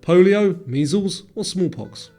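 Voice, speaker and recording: A man with a soft, mellow tone and a slightly high pitch narrates a scripted quiz. His delivery is measured, with deliberate pauses between choices, and faint music plays behind him. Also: animated.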